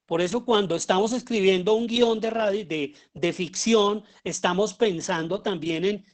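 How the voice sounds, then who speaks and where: A man with a Colombian accent giving an explanatory lecture as a teacher, often pausing and posing rhetorical questions. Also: high voice. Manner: halting, with pauses and rhetorical questions. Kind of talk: explanatory lecture on writing audio fiction.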